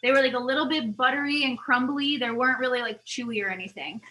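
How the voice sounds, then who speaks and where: Woman vlogger, voice fading, rambly and chatty, bright tone. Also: high voice, fast talker. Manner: rambling, talkative, animated asides. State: losing her voice. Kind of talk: casual chatty product review.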